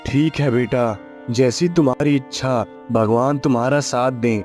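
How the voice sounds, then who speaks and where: A man voicing a kindly, calm older village uncle in a story cartoon, fatherly and consoling. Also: medium pitch, a little slow, soft background music, lightly acted. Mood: concerned, kindly. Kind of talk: acted dialogue in a moral story cartoon.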